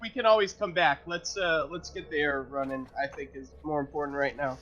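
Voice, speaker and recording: A man's voice: fairly high pitch, fairly even intonation, fairly quick, fairly bright tone. A man playing a tabletop game, speaking in a casual, folksy way. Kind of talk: casual in-character table talk. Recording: slightly dull audio, faint music behind.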